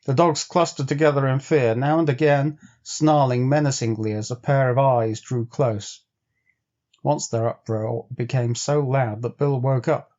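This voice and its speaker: A man's voice: mid-range voice, a little mellow. Man narrating a story, slightly dull recording. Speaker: storyteller, actor. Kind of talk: dramatic audiobook narration.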